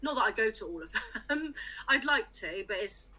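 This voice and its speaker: A woman's voice: a little high, expressive, moderate pace, sharp tone, rough recording. A woman in her late twenties from England, a music writer chatting openly as a podcast guest, with many little laughs and fillers. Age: late 20s. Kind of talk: casual interview chat.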